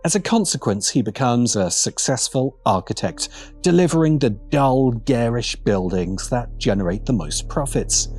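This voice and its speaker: A man reads a scripted video essay in a medium-pitched voice. His intonation moves around a great deal, with faint music behind him. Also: lively intonation, moderate pace, darkish tone, husky.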